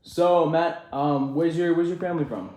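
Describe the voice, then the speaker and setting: A man's voice: fairly high pitch, normal speed, darkish tone, reverberant. A man interviewing a student, asking questions and giving short acknowledgements, often repeating himself. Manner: brief acknowledgements, repeats words.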